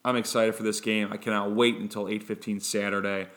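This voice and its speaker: A man hosting a fan podcast, giving a casual sports preview in a flat, monotone way, with many ums and restarts. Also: lowish voice, brisk.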